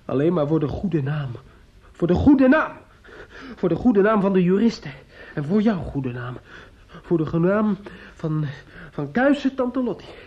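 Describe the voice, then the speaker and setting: A man acting a bitter, menacing nephew in a radio play, halting and repetitive, sneering and insistent. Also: sits high, big pitch swings, full character voice. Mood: bitter mockery. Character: bitter, menacing, grief-stricken nephew taunting his aunt. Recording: muffled, dull old radio recording.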